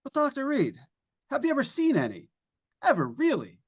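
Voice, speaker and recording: Man acting skeptical everyman broadcaster, lively swinging pitch, informal halting delivery, jumpy, vintage radio thriller. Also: exaggerated voice. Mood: casual, skeptical. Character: plain-spoken skeptical male broadcaster exploring a haunted house. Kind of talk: live old-time radio suspense acting.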